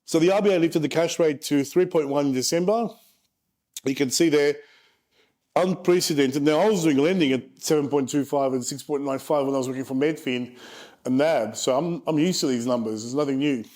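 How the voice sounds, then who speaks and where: Man in his forties, slightly high voice, frank and casual, joking asides, investment presenter. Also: a little fast, darkish tone. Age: 40s. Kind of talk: casual presentation over charts.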